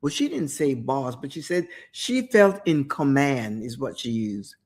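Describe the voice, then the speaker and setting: Woman talk-show host, motivational storyteller, slow and expressive delivery, emphatic repetition, direct address to the audience. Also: very deep voice. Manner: emphatic, repeats words for effect, addresses listener directly; storytelling with quoted inner thoughts.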